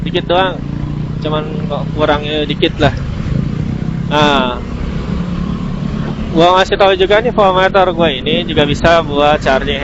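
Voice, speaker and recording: A man with a casual Jakarta-area Indonesian accent, explaining gear in a colloquial, rambling motorcycle vlog. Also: a little high. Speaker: motorcycle vlogger. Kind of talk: casual vlog review, explaining while riding. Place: outdoors on a motorcycle by a road. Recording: muffled, clearly noisy outdoor motorbike recording.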